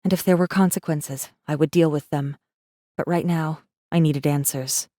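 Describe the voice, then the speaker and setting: A guarded rebel messenger, voiced by a woman, tells her story in a deep, dark female voice. The audiobook delivery is tense, measured and dramatic, with a very dry, close sound. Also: fairly even intonation, no echo, natural voice. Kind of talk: dramatic first-person audiobook narration.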